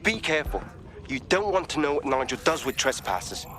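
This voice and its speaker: A man's voice: on the high side, big pitch swings, fairly quick, pushed and bright. A man playing a cocky, streetwise young hacker with a London accent and slangy, informal talk in a video game. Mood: candid, bitter.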